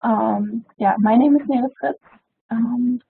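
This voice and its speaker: A polite woman from Germany whose first language is German speaks in a very husky, gravelly voice, pitched a little high. She pauses often on fillers. The audio is narrow and phone-like. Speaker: library science student and panelist. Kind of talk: webinar self-introduction.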